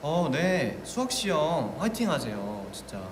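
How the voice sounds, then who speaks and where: A young man in a casual live-stream chat, reading fan comments and playful, often jumping up in pitch when excited. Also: unhurried. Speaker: idol group member hosting a live stream. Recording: echoey room with steady ambient noise.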